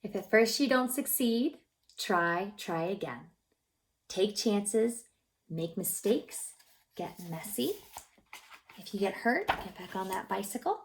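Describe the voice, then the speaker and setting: A woman reads a picture book to little children in a mid-pitched voice with a noticeable creak. She is expressive and gently encouraging, pausing to ask questions about the pictures. Her delivery often softens into a breathy near-whisper. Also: a little hiss or hum. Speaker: childcare teacher reading a picture book aloud. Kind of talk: read-aloud storytime for young children, narrating pictures and asking questions.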